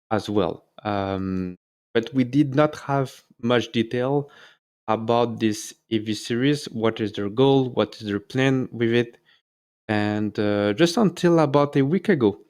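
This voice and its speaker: A man's voice: mid-range voice, a little mellow, clean tone. Hesitant man with a French accent. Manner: hesitant, many fillers and self-corrections. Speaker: YouTube host. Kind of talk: casual solo video talk.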